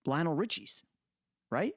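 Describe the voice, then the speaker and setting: A man's voice: a little high, wildly swooping pitch, measured pace, husky, dry recording, studio quality. An American man talking casually on a podcast, arguing his points informally and often checking that he is understood. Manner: conversational, checks in with "you know what I mean".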